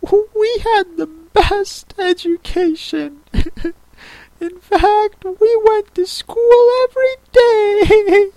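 A woman voicing a mournful, touchy, sobbing turtle creature from a storybook, with a drawn-out, tearful delivery. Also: very high-pitched, steady tone, mellow, dry recording, voice pushed up, exaggerated voice, rough recording.

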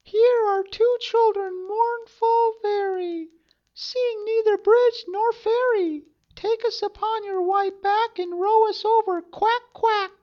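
A man voicing a frightened but clever little girl in a high falsetto, pleading and drawn out, in a storybook reading. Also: fairly even intonation, unhurried. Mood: earnest. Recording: dull lo-fi recording.

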